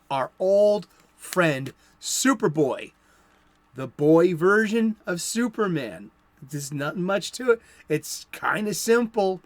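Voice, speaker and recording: A man from Boston hosting a solo comics talk show, chatty and playful with rambling asides. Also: on the high side.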